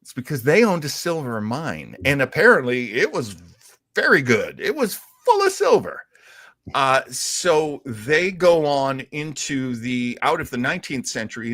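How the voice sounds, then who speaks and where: A man hosting a show, explaining in casual talk with a halting, thinking-aloud delivery. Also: medium pitch, big pitch swings, a bit bright, no echo, clean studio sound. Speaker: show host. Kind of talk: casual explanatory talk about old radio heroes.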